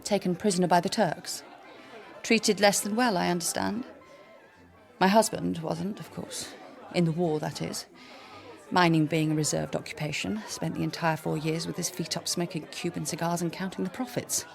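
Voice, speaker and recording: An arch, provocative society wife. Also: female voice, lowish voice, steady tone, moderate pace, gravelly, some chatter behind. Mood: bitter, wry. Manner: arch, teasing. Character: provocative, sardonic upper-class wife, flirtatious and bored. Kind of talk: period radio drama dialogue. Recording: music behind the voice.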